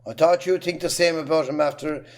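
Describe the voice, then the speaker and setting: Man acting bitter Irish husband, raised pitch. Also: fairly even intonation, fairly quick, close to an everyday voice. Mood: bitter, stubborn. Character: stubborn, bitter, bad-tempered Irish husband. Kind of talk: amateur stage drama acting.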